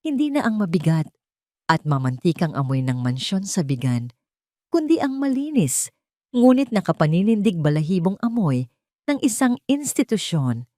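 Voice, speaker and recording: A woman with a Filipino accent narrating a dramatic first-person story in an expressive, scripted storytelling manner. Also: on the low side, moderate pace, dry recording, clean studio sound.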